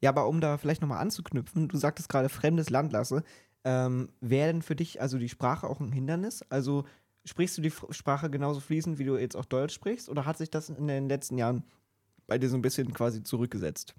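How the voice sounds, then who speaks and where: A man's voice: mid-range voice, fairly quick, warm tone. A man co-hosting a podcast interview, asking long, wordy questions in a conversational way. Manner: wordy, rephrases answers as questions.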